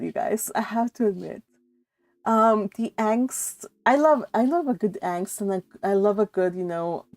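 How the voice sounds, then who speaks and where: A woman's voice: mid-range voice, a little mellow. A woman hosting a read-along livestream, chatting casually and reading out viewer comments in a gushing, giggly, rambling way. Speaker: livestream host of a book read-along channel.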